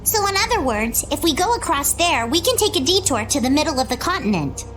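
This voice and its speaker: A woman's voice: high-pitched, sing-song, fairly quick, a bit bright, faint music behind. A woman voicing a plucky young seafaring girl in a video game, with quaint, matter-of-fact phrasing. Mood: thoughtful.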